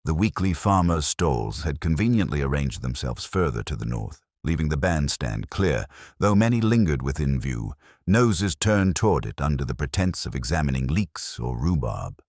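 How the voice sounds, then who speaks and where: A man reading a mystery story as a scripted audiobook narrator, in a measured, dramatic storytelling manner. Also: extremely low pitch, no echo, clean studio sound. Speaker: narrator and reader.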